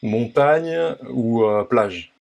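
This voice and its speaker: A young man hosting an interview, firing off quick either-or questions in a friendly, hesitant way, with many filler sounds. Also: medium pitch, lively intonation, gravelly, a little mellow, muffled recording. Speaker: interview host.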